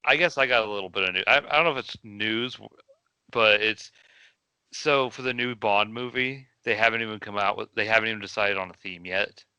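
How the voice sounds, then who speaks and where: Middle-aged male co-host, low voice, very bright and crisp. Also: brisk, no room sound. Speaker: podcast co-host. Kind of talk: casual chat.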